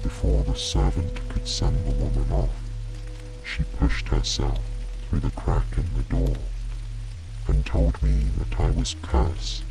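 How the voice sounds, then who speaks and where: A man voicing a remorseful, reflective lord of a lonely chateau in a bedtime story, soft and woeful. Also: close to an everyday voice, low-quality recording. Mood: regretful, reflective. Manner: woeful, halting, confessional. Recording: rain and music behind.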